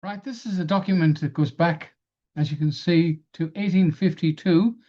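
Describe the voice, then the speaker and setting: A man's voice: fairly high pitch, normal speed, mellow, lo-fi sound. A man informally explaining historical documents to his viewers.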